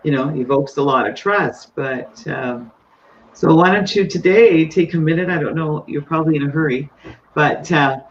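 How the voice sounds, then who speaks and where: A woman's voice: low-pitched, normal speed. Effusive webinar host, a woman. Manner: enthusiastic, effusive. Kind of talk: live webinar interview. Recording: dull lo-fi webinar audio with faint noise.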